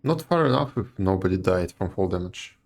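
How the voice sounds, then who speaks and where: A man's voice: low voice, expressive, fairly quick, gravelly. A man streaming a video game, reading out dialogue choices and commenting on the story.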